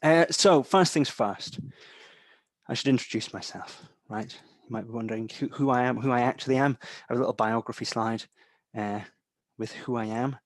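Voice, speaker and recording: A young man with a mild Scottish accent, softened by English parents, giving a chatty instructor's talk full of ums and a habit of asking "right?". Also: medium pitch, moderate pace, dry recording. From Scotland. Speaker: data analytics instructor. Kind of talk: webinar-style lecture.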